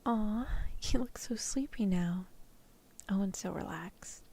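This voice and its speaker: A woman doing a comforting bedtime ASMR roleplay in a hushed, tender, soothing whisper. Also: medium pitch, slow, breathy edge. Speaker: ASMR streamer, VTuber.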